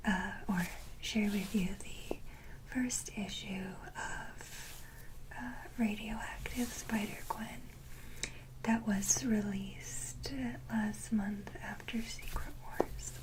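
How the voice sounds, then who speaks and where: A woman hosting an ASMR video, speaking casually in a breathy, gentle whisper, in short halting phrases. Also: medium pitch, fairly even intonation, low-quality recording. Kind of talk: soft-whispered ASMR, casual show-and-tell.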